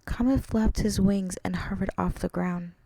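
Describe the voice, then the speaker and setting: A woman with a soft voice reading a story aloud as its narrator. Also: mid-range voice, steady tone, normal speed, gravelly, dry recording, low-quality recording. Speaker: narrator and author.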